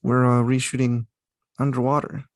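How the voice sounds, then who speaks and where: A man co-hosting a casual podcast, talking haltingly with frequent restarts and fillers. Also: medium pitch, flat delivery, no room sound, studio quality. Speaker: podcast co-host.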